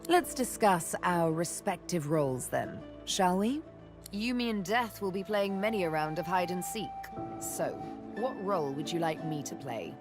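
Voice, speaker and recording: A woman voicing a confident, menacing rogue assassin in a video game, poised, deliberate and teasing. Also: fairly low pitch, animated, over music, natural voice.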